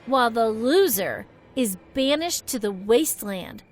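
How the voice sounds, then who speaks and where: A woman voicing a haughty villainous skeleton singing champion in an animated film, sneering, theatrical and grandly condescending. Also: a little high, unhurried. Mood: sly challenging.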